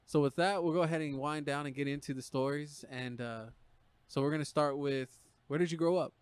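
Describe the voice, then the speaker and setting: A man's voice: a little high, fairly even intonation, fairly quick, smooth, no room sound. A man hosting a podcast, asking questions in an easygoing, rambling way with many fillers. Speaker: podcast host. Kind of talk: casual podcast interview.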